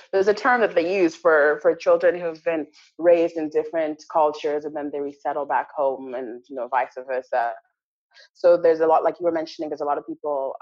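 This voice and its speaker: Low-voiced woman, reflective interview guest. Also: darkish tone, muffled recording. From Malawi. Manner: reflective, with frequent fillers and restarts. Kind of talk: casual interview conversation.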